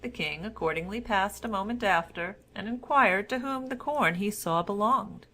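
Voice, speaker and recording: Female storyteller, mid-pitched voice, for measured, formal fairy-tale narration from a script. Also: sharp tone.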